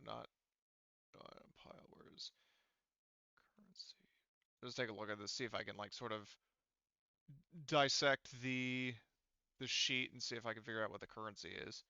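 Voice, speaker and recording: A man chatting casually as a game master, informal and sweary, thinking aloud as he troubleshoots software over voice chat. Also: fairly low pitch, clean tone, dry recording, lo-fi sound. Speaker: game master of an online tabletop roleplaying game.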